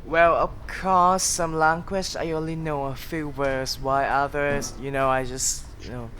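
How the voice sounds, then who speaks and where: A man's voice: on the high side, fairly even intonation, measured pace, fairly bright tone. A young man acting a hesitant, thoughtful linguist in an audio drama. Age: young adult. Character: hesitant, thoughtful speaker of several languages. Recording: faint background noise, home recording.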